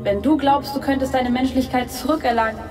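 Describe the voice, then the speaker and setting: A woman playing a high-voiced, sad young android woman. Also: fairly even intonation, brisk, over music, lightly acted. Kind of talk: audio drama acting.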